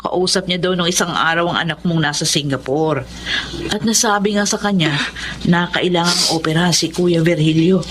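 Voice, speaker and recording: An actress playing a kindly, conciliatory middle-aged mother, speaking gently in a Tagalog radio drama. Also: lowish voice, moderate pace, lightly acted. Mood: gentle, reassuring.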